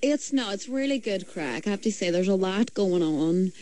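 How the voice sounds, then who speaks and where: A woman's voice: mid-range voice, animated, normal speed, mellow. A woman with a Derry accent, chatting as a singer guest in a radio studio, rambling along with lots of fillers and restarts. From Derry, Northern Ireland. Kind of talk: casual radio interview chat.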